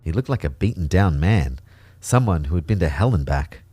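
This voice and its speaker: A low male voice in a dry, close recording, an anxious everyman telling his sci-fi adventure. He narrates in the first person with wry understatement, as in a scripted audio drama. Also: animated, moderate pace, mellow, breathy edge, dry recording. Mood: wry, uneasy. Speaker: narrator and lead actor.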